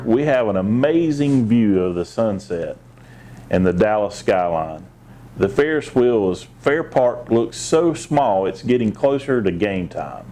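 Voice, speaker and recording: A man's voice: on the low side, a little mellow, a little hiss or hum. A man reading a children's picture book aloud, with short asides of his own. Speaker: assistant police chief, guest storybook reader.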